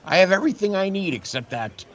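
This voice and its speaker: A man's voice: high-pitched, expressive, fast, muffled recording. A man playing an ambitious, grandiose wrestling promoter in an audio play, hustling and emphatic, with faint ambience behind him. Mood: eager. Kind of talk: comedic audio play acting.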